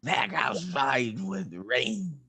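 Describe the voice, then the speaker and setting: A man voicing a garbled comic narrator who reads halting, repetitive descriptions in broken speech, mixing up and dropping sounds. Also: fairly high pitch, sing-song, vocal fry, crowd noise, heavily acted. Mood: halting, clownish. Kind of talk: comic dramatic reading played for laughs.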